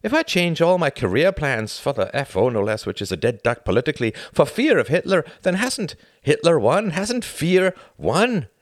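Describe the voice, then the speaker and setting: A skeptical young academic friend, exasperated and scoffing, speaking high and raised, as a male reader puts him on. His tone leaps up and down through each argument. The sound is close and dry, like a storybook read at the mic. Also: big pitch swings, normal speed, hardly any pauses, dry recording. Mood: reasoning. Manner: scoffing, arguing.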